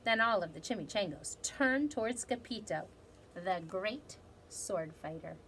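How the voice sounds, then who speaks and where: A woman reading a children's story aloud in an animated, dramatic way. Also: medium pitch, slight background noise. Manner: animated, dramatic delivery with measured phrasing. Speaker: storybook reader.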